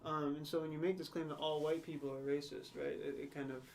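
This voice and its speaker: Even-toned young man, musing. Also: a little high, quick pace, gravelly, slight background noise. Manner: thoughtful, hedging, frequent "right?" and "you know". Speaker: podcast co-host. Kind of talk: casual discussion.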